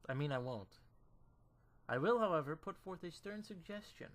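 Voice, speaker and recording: A man playing a calm, firm mentor who gently persuades, in measured visual novel dialogue from a fan dub. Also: fairly high pitch, lightly acted. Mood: gently persuasive.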